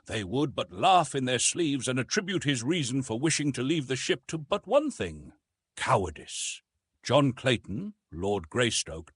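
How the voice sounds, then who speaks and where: A man's voice: medium pitch, a bit bright, slightly rough, no room sound, studio quality. A man narrating an adventure story as a scripted, dramatic audiobook reading.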